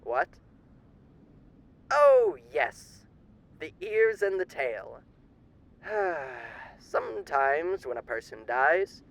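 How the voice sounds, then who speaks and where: A man's voice: a little high, creaky, full character voice, low-quality recording. A man playing a suave demon overlord with theatrical, old-fashioned genteel diction in an audio roleplay. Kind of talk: ASMR character roleplay.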